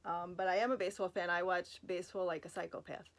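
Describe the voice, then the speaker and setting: A woman's voice: lowish voice, little pitch movement, fast talker. Chatty Brooklyn woman vlogging from bed. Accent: Brooklyn New York. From Brooklyn, New York. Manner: chatty, rambling, self-deprecating, lots of fillers and asides. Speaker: vlogger answering fan questions. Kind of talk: casual Q&A vlog from bed. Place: in her bed at home. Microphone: phone recording.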